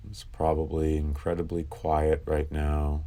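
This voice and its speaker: A man leading a guided sleep meditation, calm and instructive, with long pauses between phrases. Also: extremely low pitch.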